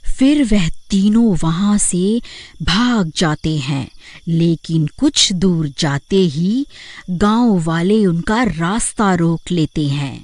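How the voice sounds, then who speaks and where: A woman's voice: on the low side, animated, takes it slowly, a little mellow, no room sound. Woman narrating a cartoon horror story.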